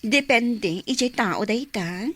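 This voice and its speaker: A woman's voice: fairly high pitch, lively intonation, slow pace, a bit bright, no room sound, full character voice. An actress playing a giddy young woman who gushes in a radio drama, with an Ilocano Filipino accent. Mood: pleased, chatty. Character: giddy young woman gushing over her sweet boyfriend.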